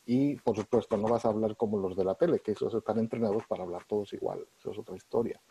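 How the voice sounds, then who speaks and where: A man from Spain with a husky, darkish voice explains ideas at an easy conversational pace. He often pauses and restarts his sentences. The sound is close and dry, with no room. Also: fairly low pitch, warm tone, no room sound, rough recording. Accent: neutralized Spanish, mixing Spain and Mexico. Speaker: interviewed professor. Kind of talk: relaxed conversational interview.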